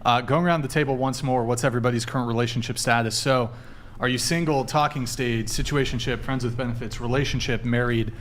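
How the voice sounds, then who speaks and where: A man's voice: mid-range voice, steady tone. Man hosting a dating panel. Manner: brisk interviewer, quick follow-up questions. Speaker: podcast host. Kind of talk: panel dating talk show.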